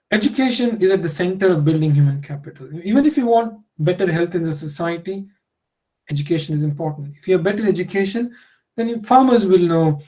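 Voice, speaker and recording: A man's voice: on the high side, mellow, low-quality recording. A man, a Telugu speaker, giving a workshop lecture in a conversational way, full of "you know" fillers. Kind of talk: online lecture.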